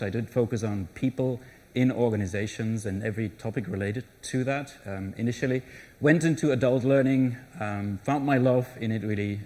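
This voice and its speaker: A man's voice: mid-range voice, a little mellow. A middle-aged man giving a live conference talk, conversational, with frequent restarts and fillers. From Germany. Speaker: conference presenter. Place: conference session room.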